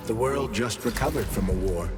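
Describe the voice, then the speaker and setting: An actor playing a polite, erudite AI glove companion in a video game, speaking formally and articulately in an explanatory way. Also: male voice, on the low side, expressive, moderate pace, lightly acted. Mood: informative, concerned. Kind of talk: scripted video game dialogue. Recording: music behind.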